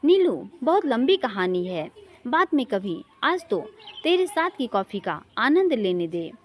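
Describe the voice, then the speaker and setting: Earnest young man in love, high-voiced woman reading. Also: sing-song, a little slow, slight background noise. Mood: evasive. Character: wistful young man confiding his love story.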